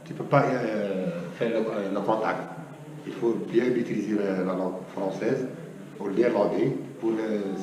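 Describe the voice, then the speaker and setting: A man being interviewed, giving a halting personal account and often searching for words. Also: on the low side, steady tone, crowd noise. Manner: hesitant, frequent searching for words. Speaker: interviewee, undocumented migrant. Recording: distant, echoey hall with clear noise.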